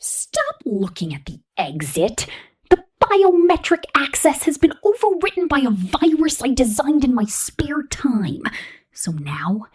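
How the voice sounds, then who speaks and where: Woman acting a female killer robot, husky half-whisper, swooping intonation, possessive, megalomaniac, seductively menacing, ASMR roleplay. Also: high voice, heavily acted. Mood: menacing, grandiose. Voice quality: breathy, whispery.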